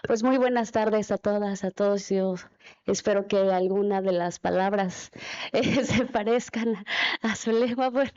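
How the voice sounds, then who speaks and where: A woman's voice: mid-range voice, unhurried, a little mellow, smooth. A woman legislator whose first language is Mixe, giving a formal, light-hearted greeting at a meeting. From Oaxaca, Mexico. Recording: dull lo-fi event audio.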